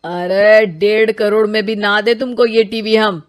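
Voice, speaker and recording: A woman's voice: medium pitch, little pitch movement, unhurried, natural voice. Woman voicing a proud, bickering cartoon elder brother. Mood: insistent. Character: proud, argumentative elder brother, married man. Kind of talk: Hindi moral-story cartoon dubbing.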